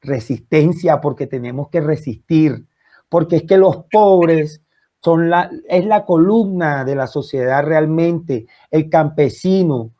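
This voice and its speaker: A man's voice: on the high side, takes it slowly, dark tone. A man from Colombia with a Caribbean accent, answering in an interview as a writer, emphatic and repeating phrases for effect.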